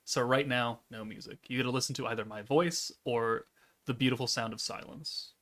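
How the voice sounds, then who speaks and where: Male streamer, 30s, gravelly and deadpan, laid-back monotone chat. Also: mid-range voice, brisk. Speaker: gaming streamer. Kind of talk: casual live-stream chat, reading chat aloud.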